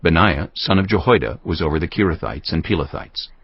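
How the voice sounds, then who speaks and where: Deep-voiced male Bible narrator, expressive. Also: a little fast, husky. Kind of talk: scripted dramatized Bible narration. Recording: dull band-limited audio with music bed.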